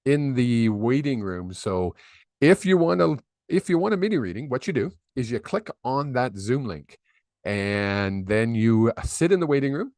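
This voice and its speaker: A man's voice: medium pitch, no echo, studio quality. A man hosting a live psychic reading stream, chatty and rambling with lots of fillers, on a desk microphone. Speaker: psychic medium host. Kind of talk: casual live-stream chat. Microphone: desk microphone on a video call.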